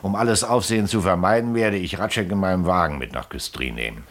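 An actor playing a shrewd legal official in a radio drama, authoritative, impatient and worldly. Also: male voice, low voice, fast talker. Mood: grave, superior. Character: shrewd, commanding male legal official investigating a crime. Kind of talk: German radio drama acting.

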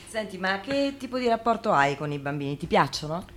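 A woman's voice: medium pitch, sing-song, normal speed. A woman from Italy hosting a casual, conversational interview and putting questions to her guest. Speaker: interviewer, host. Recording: noticeable background noise.

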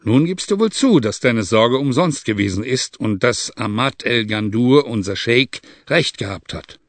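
A man's voice: medium pitch, animated, measured pace, no echo. A man voicing a Bedouin tribesman giving a matter-of-fact report in an audiobook reading.

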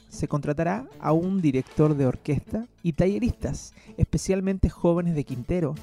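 A man's voice: fairly high pitch, warm tone. A man reading a scripted news report. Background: background music.

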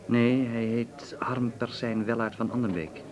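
An actor playing a pushy, smooth-talking film producer in a wartime Dutch radio drama. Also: male voice, mid-range voice, flat delivery, unhurried, crowd noise, natural voice. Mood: subdued.

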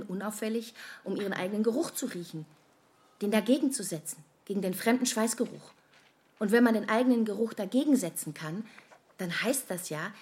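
A woman's voice: medium pitch, a little mellow, lightly acted. An actress playing an anxious, self-conscious woman who rambles and questions herself in a conversational radio play. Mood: uneasy, insistent. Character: anxious, self-conscious woman fretting over how she smells. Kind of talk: modern radio play acting, conversational monologue.

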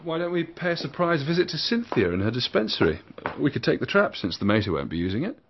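A man's voice: fairly high pitch, very animated, fast talker, dull audio, noisy background. An actor playing a casual, curious upper-class young Englishman in a radio drama. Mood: breezy.

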